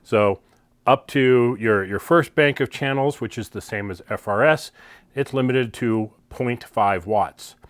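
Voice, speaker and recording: A man's voice: mid-range voice, measured pace, clear, resonant tone, no room sound. A man talking casually as he reviews a product, explaining things informally and stressing key words. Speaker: product reviewer and off-road vlogger.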